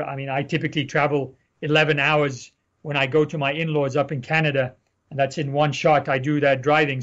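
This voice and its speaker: A middle-aged man originally from Egypt talks through his research as an interview guest. He is measured and explanatory, with frequent ums. His voice sits a little high and comes through muffled, lo-fi call audio. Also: fairly bright tone. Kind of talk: interview answers.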